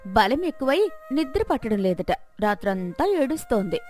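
A woman plays a greedy village wife in a storybook tale, high-voiced and animated. She plots and wheedles with exaggerated fake sweetness, over faint music. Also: normal speed. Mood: falsely innocent. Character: greedy, scheming, sugary-tongued middle-aged village wife.

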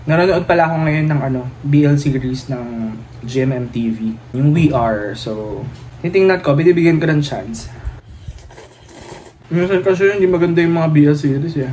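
A chatty Filipino man in his thirties with a voice pitched a bit high for a man. He talks straight into a phone held at arm's length in a small room at home, with faint background noise. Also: normal speed, poor audio. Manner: chatty, animated, laughing asides, mixing English into Filipino. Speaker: vlogger. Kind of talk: casual daily vlog chat. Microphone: phone camera at arm's length.

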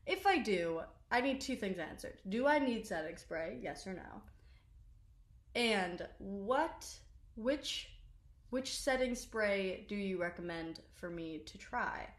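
Young woman, rambling casual chat, filler-heavy, middle pitch, echoey bedroom, phone camera. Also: expressive, slow pace. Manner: rambling, chatty, many "like" fillers, self-deprecating. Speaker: social media creator. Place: small bedroom, indoors.

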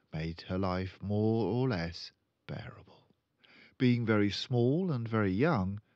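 An older man reading a children's book aloud as a storyteller, with deliberate, expressive phrasing. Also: fairly low pitch, no echo, muffled recording. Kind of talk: children's audiobook narration.

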